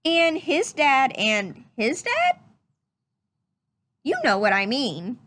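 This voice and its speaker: A woman's voice: high voice, big pitch swings, takes it slowly, a bit bright, heavily acted. A woman voicing a curious little boy in a storybook, asking earnest questions with questioning, rising phrasing. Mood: earnest wondering. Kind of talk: storybook read-aloud.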